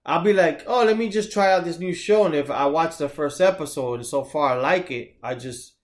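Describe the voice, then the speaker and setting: A man's voice: on the high side, a little fast. A man in his thirties co-hosting a podcast, telling laid-back stories in casual chat and swearing now and then. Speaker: podcast co-host.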